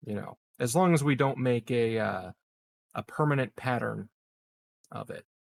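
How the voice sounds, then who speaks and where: A man playing a tabletop game, casually proposing strategy in measured, somewhat hesitant phrases. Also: mid-range voice, gravelly. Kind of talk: casual game strategy discussion, half in role.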